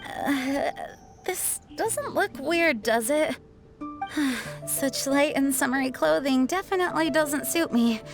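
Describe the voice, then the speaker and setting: A woman voicing a dutiful, composed young knight leader who speaks formally and earnestly in an animated video game. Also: high-pitched, full character voice. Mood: bashful. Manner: formal, measured phrasing. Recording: music behind.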